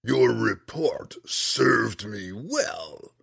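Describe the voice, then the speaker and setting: Huge fiendish ruler for a fantasy audiobook: commanding, cruel, drawn-out threats, rough husky voice. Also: male voice, on the low side, big pitch swings, a bit bright, no room sound, studio quality, voice pushed up, full character voice. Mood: cold menace.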